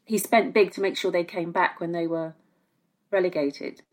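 Low-pitched British woman discussing football. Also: fairly even intonation, normal speed. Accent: British English. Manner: hesitant phrasing, repeats words for emphasis. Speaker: guest football pundit. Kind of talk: conversational opinion, podcast discussion.

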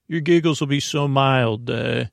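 A man with a gravelly, creaking voice at a middling pitch rambles as host of a bedtime podcast. He is halting and repetitive, stretching words and drifting into goofy tangents. The sound is close and dry. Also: no echo. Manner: drawn-out words, droning; goofy, self-deprecating. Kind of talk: rambling improvised bedtime monologue.

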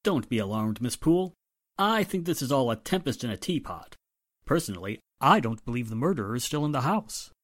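A woman playing a formal, condescending well-to-do gentleman in a radio drama. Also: very deep voice, very animated, brisk, full character voice. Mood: dismissive, reassuring. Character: suave, dismissive well-to-do gentleman, nephew of victim.

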